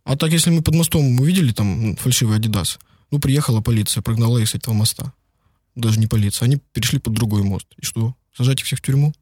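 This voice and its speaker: Husky-voiced man answering interview questions. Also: medium pitch, fast talker, a little mellow, no room sound, clean studio sound. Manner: conversational, digressive, many fillers. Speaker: guest, law firm partner. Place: lives and works in Beijing.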